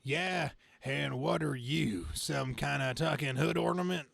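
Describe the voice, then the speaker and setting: A man's voice: fairly high pitch, a little slow, gravelly, a bit bright, no echo, full character voice. A man playing a folksy, cocky Southern superhero with slangy talk in an amateur comic-book reading. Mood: cocky, defiant.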